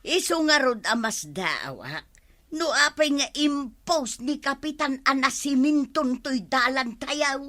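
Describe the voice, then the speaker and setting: Fussy older villager, swooping voice. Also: female voice, high-pitched, expressive, slow, sharp tone, no echo, full character voice. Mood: exasperated. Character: fussy, skeptical older village woman with weak eyesight. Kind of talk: comedy radio drama acting.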